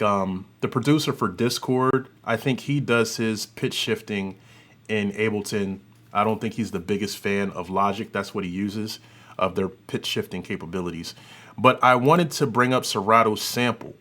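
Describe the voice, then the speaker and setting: A man hosting an audio software tutorial, talking informally and thinking aloud as he works through a demo. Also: fairly low pitch, normal speed. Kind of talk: casual demo commentary.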